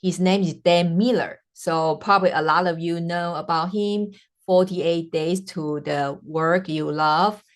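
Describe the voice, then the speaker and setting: A woman from Taiwan, a native Mandarin speaker with a Taiwanese Mandarin accent, hosting her podcast and telling her own story earnestly, with frequent "uh" pauses. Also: lowish voice, fairly even intonation. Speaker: podcast host. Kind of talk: personal storytelling monologue.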